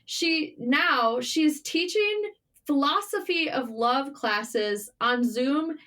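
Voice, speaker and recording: A woman speaking as a writer guest in a casual, candid interview, informal and animated in manner. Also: sits high, takes it slowly. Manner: animated, informal, swearing, self-deprecating.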